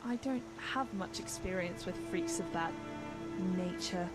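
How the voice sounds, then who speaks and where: A woman voicing a guarded young female traveller in a fantasy video game, measured and halting with dramatic pauses. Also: on the low side, lightly acted, low-quality recording. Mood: uneasy recounting. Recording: game music behind.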